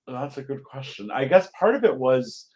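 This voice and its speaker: A man's voice: medium pitch, darkish tone, low-quality recording. A man speaking as an interview guest, thoughtful and hesitant, feeling his way through casual answers. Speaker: guest playwright.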